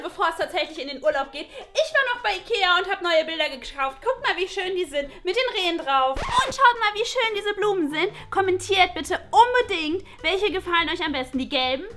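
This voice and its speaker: A woman playing a bubbly, over-excited influencer girl, gushing in a sing-song way with drawn-out words. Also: extremely high pitch, exaggerated voice. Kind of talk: parody of vlogger talk in comedy sketch. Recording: music behind the voice.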